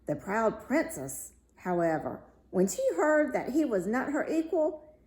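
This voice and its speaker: Female fairy tale narrator, mellow and unhurried, expressive delivery, roomy sound. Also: a little high. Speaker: story reader.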